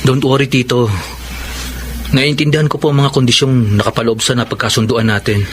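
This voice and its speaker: A man's voice: mid-range voice, little pitch movement, a little fast, slight background noise, lightly acted. An actor playing a tender, joking young Filipino man hiding a grave illness, in an earnest radio drama style. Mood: calm, resigned.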